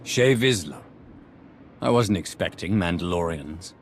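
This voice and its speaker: An actor playing a resolute dark lord in a video game, speaking formally and deliberately, with authority. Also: male voice, low voice, animated, a little hiss or hum. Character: resolute, commanding male dark-side sorcerer lord. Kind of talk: cinematic video game acting.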